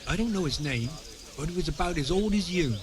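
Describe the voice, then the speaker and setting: A man's voice: fairly high pitch, animated, fast talker, a crowd in the background, lightly acted. An actor playing an impatient, gossipy medieval man in a video game, brusque and sardonic. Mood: gossiping. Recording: music behind the dialogue. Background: background music.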